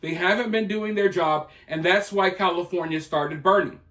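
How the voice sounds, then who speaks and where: High-pitched American man giving emphatic commentary. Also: normal speed, bright, forceful tone. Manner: emphatic, conversational, rhetorical. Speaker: online news commentator. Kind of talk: opinion commentary to viewers.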